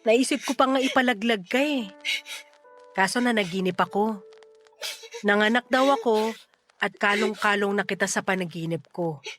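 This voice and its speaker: A woman's voice: medium pitch, moderate pace, long pauses, faint music behind, close to an everyday voice. A Filipino woman acting as a playful, fiercely protective single mother around forty in a melodramatic radio drama. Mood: tender, reassuring. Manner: animated, teasing, exclamatory.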